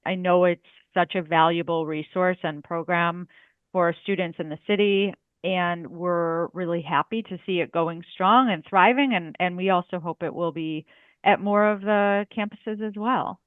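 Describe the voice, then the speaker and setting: A woman podcast host thanking her guest in a measured, polite way. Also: fairly low pitch, steady tone, clear, resonant tone, no echo. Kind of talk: polite scripted wrap-up. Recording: tinny phone-like line.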